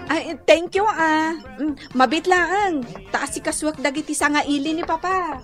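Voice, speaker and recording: High-voiced, gracious Filipino heiress. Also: female voice, unhurried, faint music behind. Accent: Filipino, Ilocano. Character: gracious, modest young rich man's daughter. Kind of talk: Ilocano radio drama acting.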